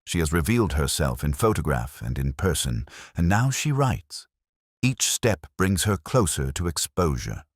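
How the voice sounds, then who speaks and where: A man voicing a keen, calm Victorian gentleman detective in a storybook, speaking formally with quiet authority. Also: low voice, normal speed, dry recording, clean studio sound. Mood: grave, purposeful.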